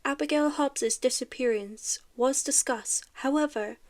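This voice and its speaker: A woman's voice: high-pitched, measured pace. A woman playing an investigator who records an official case review in an audio drama, formal and matter-of-fact. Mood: formal, detached. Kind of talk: scripted audio drama narration.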